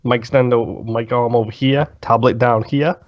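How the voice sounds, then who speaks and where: A man's voice: medium pitch, steady tone, moderate pace, dull audio. A man co-hosting a podcast in casual chat, with a wry manner and the odd swear word. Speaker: podcast co-host.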